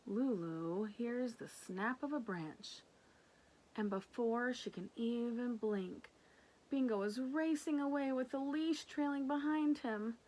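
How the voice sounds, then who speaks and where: A woman reading a children's picture book aloud in an expressive way, with casual asides. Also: a little high, poor audio. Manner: animated, unhurried narration. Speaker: storybook reader.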